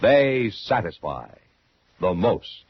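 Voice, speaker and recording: A man's voice: fairly low pitch, very animated, slow pace, very noisy. A man reading as a commercial announcer in 1950s radio advertising style. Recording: dull old radio broadcast.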